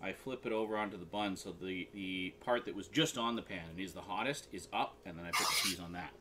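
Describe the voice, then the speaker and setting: A man's voice: deep voice, quick pace, very noisy. A man co-hosting a geek chat, talking casually while busy with something. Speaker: co-host of a weekly geek chat show.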